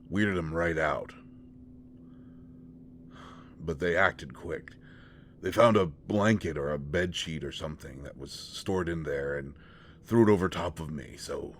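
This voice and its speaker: A man's voice: very deep voice, a little hiss or hum. A man playing a shy, awkward young shapeshifter in an intimate roleplay audio drama, stammering and hesitant as he talks to a close friend.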